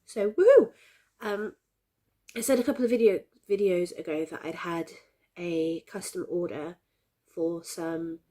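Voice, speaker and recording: Husky, congested, chatty woman vlogger. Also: fairly low pitch, unhurried, dark tone. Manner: chatty, cheerful, rambling. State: congested with a cold, coughing. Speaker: crafting vlogger. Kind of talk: casual chat to camera.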